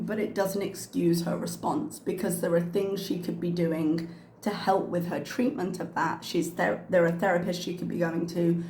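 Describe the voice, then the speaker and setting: Chatty commentary host in an echoey room. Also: female voice, lowish voice, a little mellow, slight background noise. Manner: chatty, frank, with frequent ums and uhs. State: slightly losing her voice from a cough. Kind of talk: casual reaction commentary. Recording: echoey room with faint ambience.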